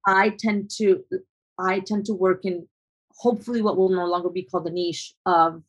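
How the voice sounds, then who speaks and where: A woman's voice: on the low side, steady tone, normal speed, husky. Thoughtful woman photographer from Colombia. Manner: thoughtful, hesitant, many restarts and fillers. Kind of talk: conversational interview answers.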